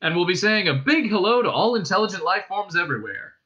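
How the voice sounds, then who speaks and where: A man voicing a brash, excitable galactic radio newsreader with squawking, punchy, upbeat patter in a storybook reading. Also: sits high, fast, highs cut off, voice pushed up. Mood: brash, excited.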